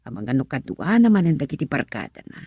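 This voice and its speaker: A woman acting in a radio drama as an anxious, suspicious wife, with an Ilocano accent and a breathy, creaky, husky voice. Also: low voice, sing-song, fast, warm tone, no room sound, full character voice. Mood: worried musing.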